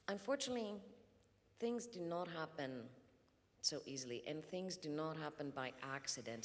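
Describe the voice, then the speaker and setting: A woman from Greece speaking as a conference panelist, measured and thoughtful, with frequent ums. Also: sits low. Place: conference hall. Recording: long reverb in a conference hall.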